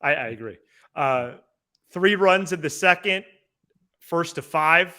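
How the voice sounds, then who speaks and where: Male sports podcast co-host, very bright forward tone, slightly high register, casual and halting delivery. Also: lively intonation. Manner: halting, repeats words as he talks.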